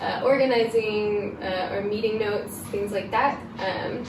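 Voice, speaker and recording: A woman guest explains her work conversationally, a little tentative and halting. Her mid-pitched voice comes from some distance in a roomy space, with clear noise around it. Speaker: archivist, interview guest. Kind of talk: casual explanatory interview talk.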